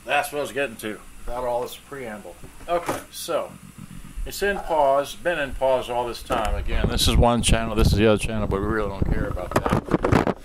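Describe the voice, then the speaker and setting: A middle-aged man giving a casual, halting, off-the-cuff how-to commentary as he works at a bench, picked up by a camera mic. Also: medium pitch. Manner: rambling, halting, talking as he works. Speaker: hobbyist repair video maker. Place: cluttered indoor workbench at home. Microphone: camera mic, off-screen speaker. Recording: clearly noisy home workshop recording.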